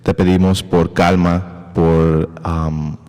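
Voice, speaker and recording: A man's voice: low-pitched, little pitch movement, slow, a little mellow, clean tone, long reverb tail. A male podcast host talking casually and hesitantly, with many fillers and English words mixed in. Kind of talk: casual conversational interview.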